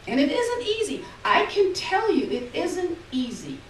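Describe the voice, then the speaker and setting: Lady putting on a frail confused old mom, halting, sing-song voice, roomy far-off sound. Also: extremely high pitch, very animated, slight background noise, voice pushed up, exaggerated voice. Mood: wistful. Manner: halting, repeating herself. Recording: echoey hall from far off.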